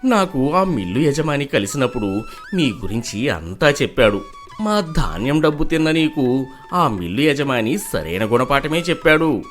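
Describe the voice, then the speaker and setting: A man's voice: medium pitch, sing-song, normal speed, a bit bright, soft background music. A man voicing village traders and farmers in a dramatised moral story. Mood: reproachful. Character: male villagers in a moral tale: traders, mill owner, wronged farmer.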